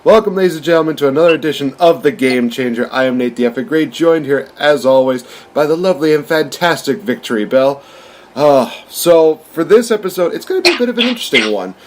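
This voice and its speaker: A man's voice: fairly high pitch, animated, fast. A man hosting a podcast, talking through a film review in an informal, chatty, rambling way. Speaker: podcast host.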